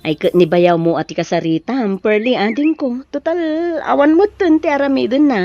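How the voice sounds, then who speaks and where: A woman's voice: fairly high pitch, fairly quick, no room sound, faint music behind, lightly acted. A woman playing a reassuring married older sister in an Ilocano radio drama. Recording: dull sound, faint noise.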